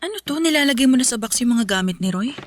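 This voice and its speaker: A woman's voice: on the high side, lively intonation, quick pace, slight background noise, close to an everyday voice. A woman acting a pleading, conciliatory sister in a Filipino radio drama. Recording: music behind the voice.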